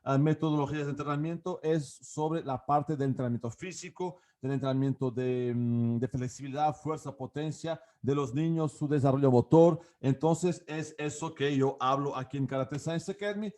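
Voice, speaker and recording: A man's voice: on the high side, moderate pace, hardly any pauses, dark tone. A man in his forties from Portugal with a Portuguese accent, giving an online karate class with many fillers and restarts. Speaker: karate coach and academy founder. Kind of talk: online class talk to camera.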